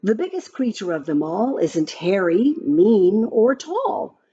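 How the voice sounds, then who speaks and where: A woman reading a children's picture book aloud in an expressive, sing-song way, adding chatty asides about the pictures. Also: medium pitch, takes it slowly, slightly rough. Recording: slightly dull lo-fi, music behind. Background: music.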